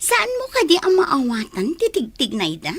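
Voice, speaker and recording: A woman's voice: high voice, wildly swooping pitch, moderate pace, dry recording, heavily acted. An actress playing a haughty, scornful rich young woman in an Ilocano radio drama, theatrical and taunting. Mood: pleading. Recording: loud music bed behind voice.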